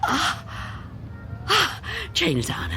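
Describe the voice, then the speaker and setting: Frail old recluse, fearful, halting slow delivery, hugely swinging pitch, tremulous, radio drama. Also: female voice, wildly swooping pitch, bright, forceful tone, a little hiss or hum, exaggerated voice. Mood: fretful, fearful. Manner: hesitant, stammering, anxious muttering.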